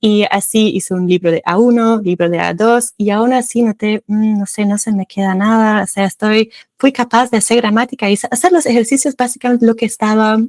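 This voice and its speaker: A German woman with a German accent talks casually as an interview guest. She laughs often and corrects herself mid-sentence. Her voice sits at a middle pitch, recorded dry and close. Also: fairly even intonation, brisk, a little mellow, no echo.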